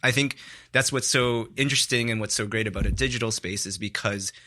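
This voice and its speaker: A man's voice: lowish voice, a little fast, bright, forceful tone. A man guesting on a studio podcast, talking in a loose, reflective way and filling his sentences with "like". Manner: rambling, heavy use of "like", reflective. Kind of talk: casual studio chat.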